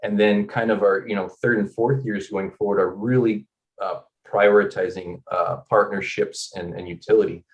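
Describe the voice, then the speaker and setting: A man from Northern California, founder and core developer, talking about his project in a halting way that repeats words. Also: fairly low pitch, steady tone, low-quality recording. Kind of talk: casual presentation.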